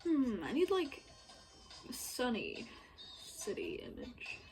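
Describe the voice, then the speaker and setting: A woman with a fairly high, animated voice chats casually while she works. She rambles in a giggly, self-deprecating way, with music playing faintly behind her. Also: slow pace, gravelly, rough recording. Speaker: streamer making visual novel artwork. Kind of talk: casual chat while working.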